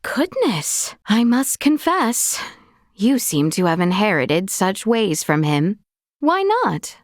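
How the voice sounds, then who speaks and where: A woman playing a gracious, earnest young princess knight in a fantasy video game, speaking with formal, courtly, measured diction. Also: medium pitch, very animated, no echo, clean studio sound. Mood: concerned. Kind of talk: video game character dialogue.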